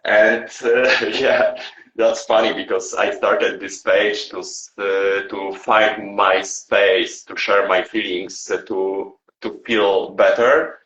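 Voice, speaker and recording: A man hosting a live stream who is losing his voice, talking in a halting way with repeated words and restarts. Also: lowish voice, steady tone, fairly bright tone, muffled recording. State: losing his voice, sore throat. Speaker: live stream host, meme creator. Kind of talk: casual live stream chat. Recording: echoey room.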